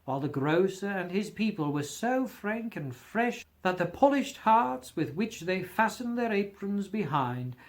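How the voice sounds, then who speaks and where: A male audiobook narrator with a high voice for a man. He reads a scripted story theatrically, with expressive phrasing and widely swinging pitch.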